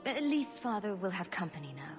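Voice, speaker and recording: An actress voicing a blunt young heroine with a dry, matter-of-fact manner. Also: female voice, mid-range voice, very animated, brisk, over music, lightly acted.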